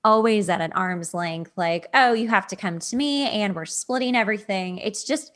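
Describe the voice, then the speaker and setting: Woman podcast host, normal pitch, chatty advice talk, repeats words to stress stuff. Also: normal speed, fairly bright tone. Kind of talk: casual dating advice chat.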